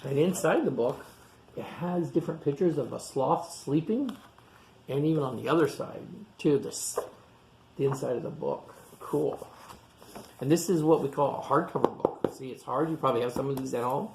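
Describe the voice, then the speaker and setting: A man reading a picture book aloud to young children, pausing for simple explanations and questions. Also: mid-range voice, vocal fry, a little mellow, a little hiss or hum. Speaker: storytime reader for young children. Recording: echoey room sound.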